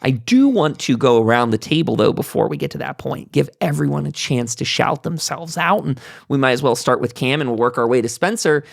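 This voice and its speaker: A man's voice: mid-range voice, expressive, brisk, creaky, few pauses, no echo, clean studio sound. A man hosting a casual roundtable chat among friends, speaking informally to his guests. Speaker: host of a panel show.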